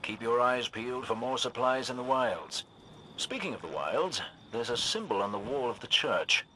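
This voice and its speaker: An actor playing a courteous, wry older militia sniper with a British accent, speaking in droll, old-fashioned phrases for a video game. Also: male voice, medium pitch, animated, moderate pace, bright, forceful tone, a little hiss or hum, poor audio. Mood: calm, helpful. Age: middle-aged. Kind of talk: video game character acting.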